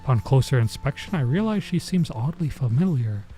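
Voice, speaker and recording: Mellow guy doing a let's play, reads the game text out, casual confused asides, clear music behind him. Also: mid-range voice, expressive, fairly quick. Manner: informal, bemused asides. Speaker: streamer playing a visual novel. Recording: music under the voice.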